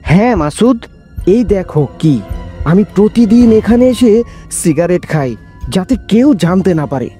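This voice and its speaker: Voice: medium pitch, lively intonation, measured pace, warm tone, natural voice. A performer voicing a pushy young boy who secretly smokes and coaxes his friend in a cartoon. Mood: coaxing, boastful. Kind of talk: cartoon voice acting. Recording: music behind the voice. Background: background music.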